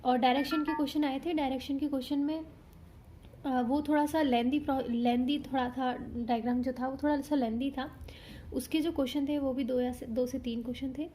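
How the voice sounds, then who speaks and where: A young woman from India casually talking through her exam, a little hoarse from a sore throat, chatty and explanatory with frequent self-corrections. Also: high-pitched, fairly even intonation, fairly quick, dark tone, a little hiss or hum. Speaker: exam candidate reviewing her paper. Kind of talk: casual vlog talk.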